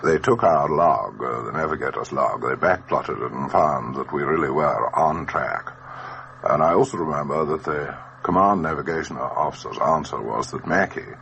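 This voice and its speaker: A man, a former bomber airman, gives his recollections for a radio documentary in a deliberate, plain-spoken way. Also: a bass voice, gravelly, a bit bright. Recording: faint noise on old radio recording.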